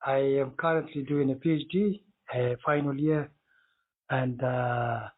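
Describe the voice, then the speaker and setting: A man's voice: medium pitch, steady tone, unhurried, breathy edge. A man from Somalia who was brought up in Denmark, explaining things conversationally as an interview guest, on a muffled, narrow recording. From born in Somalia, brought up in Denmark. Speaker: interview guest, consultant and PhD student.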